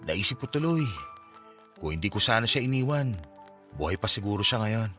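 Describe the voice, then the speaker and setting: Grief-stricken, guilt-ridden son over music. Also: male voice, on the low side, very animated, normal speed, pushed and bright. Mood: anguished regret. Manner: emotional, self-blaming. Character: grieving, guilt-ridden son blaming himself for his father's death. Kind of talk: radio drama acting. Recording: music bed under the voice.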